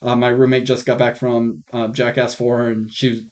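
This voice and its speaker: A man's voice: medium pitch, flat delivery, normal speed, darkish tone, dull audio. Casual, frank male podcast host. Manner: informal, frank, joking. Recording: rough podcast mic audio.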